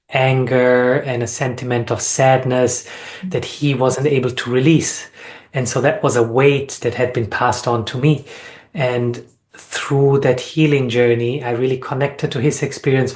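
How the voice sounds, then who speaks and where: Even-toned, earnest man in reflective conversation. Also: mid-range voice. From Austria. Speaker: sound healing facilitator and interview guest. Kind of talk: reflective interview talk about personal experience.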